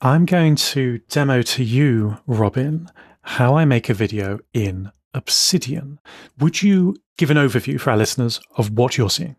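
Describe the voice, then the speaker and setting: A man co-hosting a casual podcast, talking with enthusiasm and quick asides. His voice sits at a middling pitch and is recorded very dry, with no room sound. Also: animated, dry recording, soft background music. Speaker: podcast co-host. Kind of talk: casual chat.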